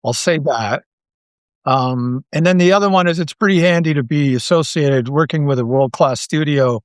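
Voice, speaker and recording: A man from Canada with a somewhat high voice, recorded very dry. He talks casually as a guest being interviewed, telling things in an animated, rambling way and often repeating a phrase before moving on. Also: moderate pace, no room sound. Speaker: interview guest, audio drama writer.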